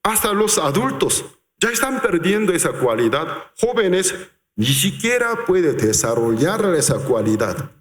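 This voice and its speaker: Animated pastor in echoing hall. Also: male voice, sits high. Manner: animated, acts out quotes, slows for emphasis. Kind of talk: sermon. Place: large reverberant church hall. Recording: echoey church hall.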